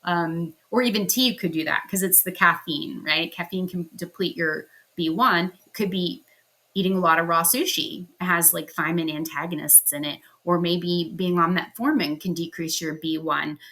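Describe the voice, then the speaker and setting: A woman's voice: fairly low pitch, fairly even intonation, normal speed, pushed and bright. A woman from Pennsylvania giving a casual, conversational lecture on health science over slides, with frequent ums and likes. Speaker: health educator. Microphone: home recording.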